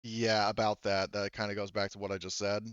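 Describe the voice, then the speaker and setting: A man's voice: on the low side, little pitch movement, a little fast, a bit bright, clean tone, no echo, muffled recording. A man speaking casually as game master of a tabletop roleplaying game, explaining rules and outcomes in informal, sweary talk.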